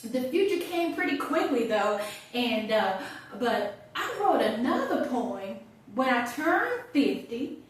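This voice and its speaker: A chatty, wry older woman, acted by a woman in a stage monologue, talks in a high, slow voice with conversational pauses. The room is very echoey around her. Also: big pitch swings, far from the mic. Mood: amused, reflective. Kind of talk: theatrical audition monologue.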